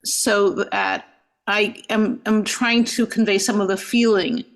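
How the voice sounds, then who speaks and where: Gravelly-voiced woman rabbi, reflective. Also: medium pitch, fairly even intonation, a little slow. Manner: thoughtful, frequent "you know" fillers and restarts. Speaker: rabbi and guest. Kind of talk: reflective interview answers.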